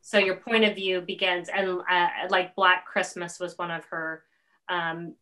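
A middle-aged woman hosting a casual interview over video call, thinking aloud in a halting way. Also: on the low side, level tone, pushed and bright. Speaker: interviewer and host.

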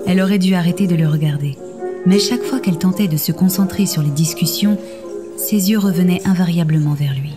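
Woman narrating fiction over music. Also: fairly low pitch. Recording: music under the voice.

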